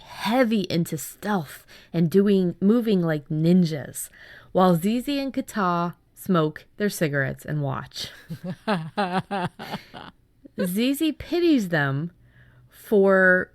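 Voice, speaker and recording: A woman podcast host chatting casually about writing, telling things in an animated way with chuckles. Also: medium pitch, no room sound, clean studio sound. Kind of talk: casual chat about story ideas.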